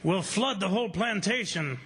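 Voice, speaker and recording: An actor playing a bold, stubborn plantation owner, commanding and resolute, in old-time radio adventure style. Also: male voice, sits high, animated, moderate pace, a bit bright, slightly rough, full character voice. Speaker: lead actor in radio drama.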